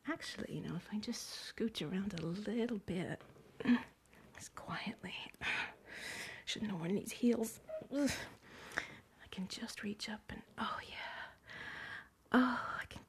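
A woman murmuring in a very airy, breathy whisper, her voice mostly unvoiced and kept low. She talks in a teasing, playful way, as if hiding and afraid of being overheard, in an intimate roleplay. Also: warm tone.

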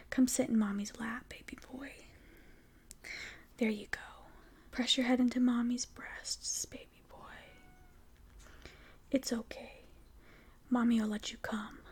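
A woman speaking in a soft, teasing whisper for an intimate audio roleplay, slow and coaxing. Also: on the high side, vocal fry, slightly rough.

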